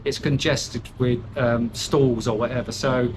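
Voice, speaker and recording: A man's voice: mid-range voice, level tone, unhurried, noisy background. An older British man chatting candidly as an interview guest, rambling with fillers and restarts and poking fun at himself. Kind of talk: casual chat.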